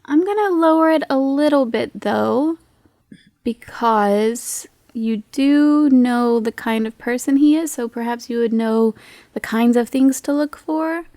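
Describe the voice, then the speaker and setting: Female game master, fairly high-pitched, conversational and off the cuff, recorded dry. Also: a little slow, dark tone, no room sound. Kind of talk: casual improvised game-table chat.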